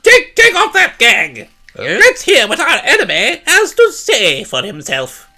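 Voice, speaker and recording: A man voicing an excitable, pompous little gnome king with a high, squeaky voice and long-winded delivery in a storybook reading. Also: unhurried, heavily acted. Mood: indignant. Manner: bombastic, long-winded speechifying.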